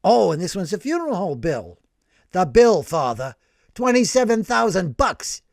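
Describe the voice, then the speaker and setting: A man voicing a brash, excitable older working-class man who tells his story in animated slang. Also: high voice, moderate pace, vocal fry, no room sound, studio quality, exaggerated voice. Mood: indignant.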